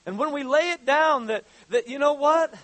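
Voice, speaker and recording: High-voiced man in his fifties preaching. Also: fairly bright tone, dry recording. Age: 50s. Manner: halting, repeats words, rises to fervent exhortation. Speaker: pastor. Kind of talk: sermon.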